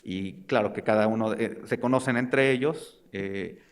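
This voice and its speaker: A young man with a Mexican accent giving an academic research presentation, speaking hesitantly and often searching for words. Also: lowish voice. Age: young adult. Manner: hesitant, halting delivery with searching pauses. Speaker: graduate student presenting research.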